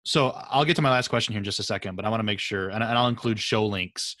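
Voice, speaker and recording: A man's voice: fairly low pitch, quick pace, bright, forceful tone, no echo. A man hosting a podcast, talking in a casual, conversational interview style. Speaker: podcast host.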